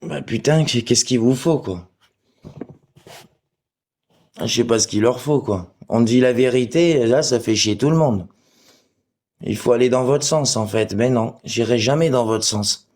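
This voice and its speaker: A man's voice: mid-range voice, moderate pace, a little mellow. Crude, confrontational man on a livestream. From Portugal. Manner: crude, abusive, confrontational, swearing. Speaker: live stream participant. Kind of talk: livestream chat replying to commenters.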